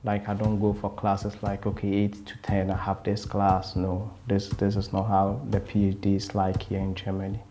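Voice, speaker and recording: A young man from Ghana, a doctoral student, explaining his work routine in a steady way. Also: lowish voice, normal speed, few pauses, slight background noise. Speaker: PhD student interviewee. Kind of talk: casual explanatory account.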